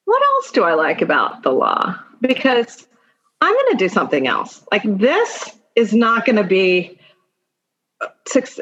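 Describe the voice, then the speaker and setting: A woman's voice: a little high, a bit bright, muffled recording. A woman in her fifties speaking as an interview guest, telling personal stories conversationally with emphatic repetition of key phrases. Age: 50s.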